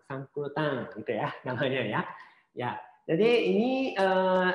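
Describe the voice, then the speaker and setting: A man giving an informal online seminar presentation as a speaker on studying abroad, talking in a halting, reassuring way with frequent hesitant pauses. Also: fairly high pitch, a little mellow. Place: indoors, online seminar call. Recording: muffled, roomy online call audio.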